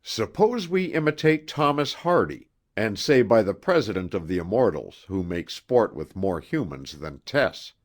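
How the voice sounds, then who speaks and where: A dry, acrid professor from a gothic audiobook, acted out by a male narrator, pronounces his views with slow, sardonic care. The tone is a little strident, and the pitch swings widely through each sentence. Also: big pitch swings. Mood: wry. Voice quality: rather strident.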